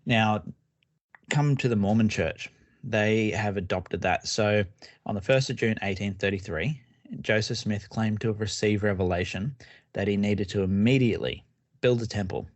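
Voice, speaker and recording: A man's voice: on the low side, dry recording. A young man co-hosting a casual podcast, explaining at length with fillers and restarts. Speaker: podcast co-host.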